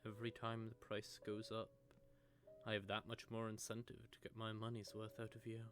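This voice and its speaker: A man's voice: lowish voice, monotone, moderate pace, natural voice. A man playing a sardonic, predatory vampire with a sentimental streak, teasing and taunting in an intimate audio roleplay monologue. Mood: teasing menace. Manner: teasing, taunting, drawling menace with soft asides. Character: sardonic, predatory male vampire, secretly sentimental toward prey.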